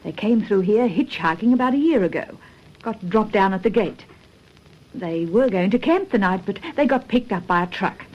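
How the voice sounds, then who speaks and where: A woman plays a plain-talking outback local in a detective radio play. Her Australian voice sits at middle pitch, colloquial and blunt. The recording is a little dull, with faint noise behind her. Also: expressive, normal speed, lightly acted. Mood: chatty, curious. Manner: matter-of-fact, colloquial. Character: blunt, chatty outback petrol-stop woman. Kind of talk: radio detective drama acting.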